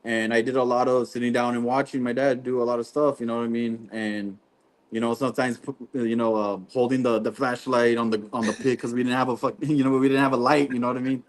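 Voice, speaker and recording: A man, a barbecue pitmaster guest, talking in a relaxed, rambling way and saying "you know what I mean" often. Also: medium pitch, fast. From Texas. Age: 20s. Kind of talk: casual podcast interview.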